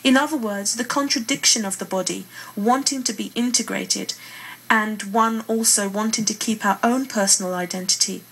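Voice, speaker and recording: A woman's voice: mid-range voice, moderate pace, fairly bright tone. A British woman, a playwright and poet, speaking earnestly as an interview guest. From Britain. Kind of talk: earnest interview talk with read-aloud passages. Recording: clearly noisy room ambience.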